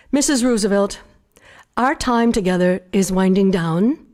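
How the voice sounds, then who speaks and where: An actress playing a polite, attentive woman interviewer in a live radio theater piece, courteous and deliberate in her delivery. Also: mid-range voice, darkish tone, close to an everyday voice. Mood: solemn, respectful.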